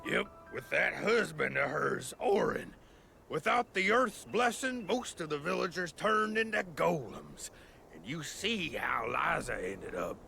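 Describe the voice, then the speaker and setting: High-voiced, creaky, folksy villager storyteller. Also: male voice, big pitch swings, a little slow, bright, forceful tone, full character voice. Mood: somber recounting. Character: folksy talkative male villager storyteller, easygoing and peaceable. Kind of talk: video game cutscene acting. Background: background music.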